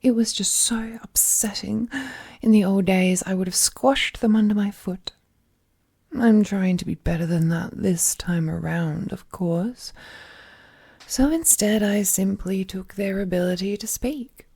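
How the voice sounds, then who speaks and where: A woman playing a ruthless, possessive, all-powerful being in an intimate audio drama, speaking with languid, condescending affection and often dropping into a breathy whisper. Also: medium pitch, measured pace, a little mellow. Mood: wounded, menacing.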